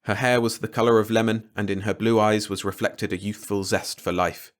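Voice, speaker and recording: A man's voice: fairly low pitch, little pitch movement, brisk. A man reading a short story aloud as scripted audiobook narration.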